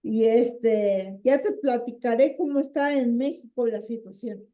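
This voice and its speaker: A woman with a Mexican accent hosting an informal interview in a halting, unhurried way. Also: a little high, mellow. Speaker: interviewer, channel host.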